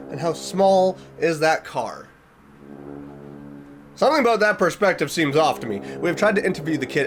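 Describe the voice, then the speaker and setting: A man giving casual reaction commentary, reading aloud and reacting expressively, drawing out words for emphasis. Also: on the high side. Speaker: reaction video host.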